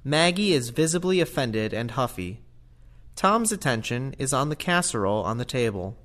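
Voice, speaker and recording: A man's voice: medium pitch, sing-song, normal speed, a bit bright. A man narrating scripted stage directions for an audio play.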